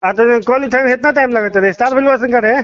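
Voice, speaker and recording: A man's voice: extremely high pitch, fast talker, a bit bright, no echo. A man with a Bhojpuri accent in a heated phone argument, taunting and interrupting in a confrontational manner. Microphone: phone call. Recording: dull lo-fi phone call recording.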